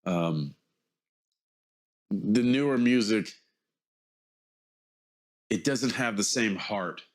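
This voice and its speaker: A man's voice: on the low side, expressive, very slow. A man in his forties, mildly congested, giving a casual, rambling vlog monologue in which he hesitates, corrects himself and circles around his point. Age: 40s. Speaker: vlogger.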